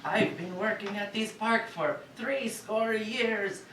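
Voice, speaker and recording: A woman voicing a huffy, gruff theme park old-timer in a staged cartoon reading. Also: medium pitch, slow pace, noisy background, close to an everyday voice. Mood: indignant. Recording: distant echoey room with audience.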